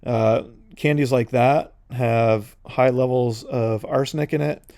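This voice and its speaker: A man's voice: medium pitch, fairly even intonation, unhurried, a little mellow, no echo, clean studio sound. A man in his forties casually rambling as a podcast host while he paints, drifting into side notes with lots of fillers. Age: 40s.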